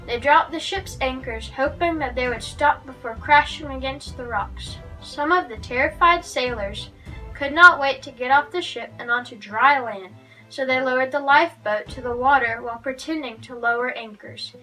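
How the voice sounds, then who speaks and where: A woman's voice: high voice, normal speed, sharp tone. A woman reading a Bible story aloud for children as a guest narrator. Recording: distant microphone, music underneath.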